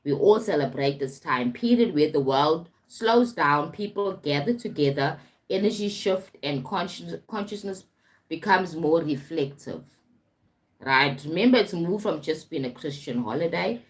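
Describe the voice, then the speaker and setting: A woman with a low-pitched voice and a South African accent speaks as a life coach on an informal livestream. She is emphatic, repeats her phrases and often tags them with a short check-in to her listeners. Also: expressive, measured pace. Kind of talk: informal motivational livestream talk.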